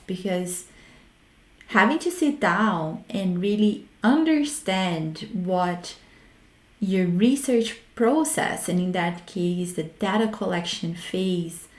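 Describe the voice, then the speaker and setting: A woman's voice: mid-range voice, expressive, slow pace. A woman from Brazil with a Brazilian Portuguese accent hosting a solo talk on research methods, frank and reflective, often stopping to restart her words. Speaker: host. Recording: roomy echo.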